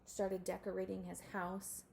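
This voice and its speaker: A woman reading a storybook aloud to children expressively, with animated asides to her listeners. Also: mid-range voice, measured pace, creaky, a little hiss or hum. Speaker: storybook reader.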